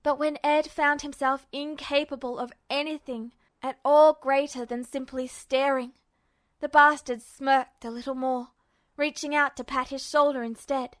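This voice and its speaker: A woman reading fan fiction aloud as scripted narration, with dramatic, expressive storytelling. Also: high voice, unhurried. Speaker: amateur audiobook narrator.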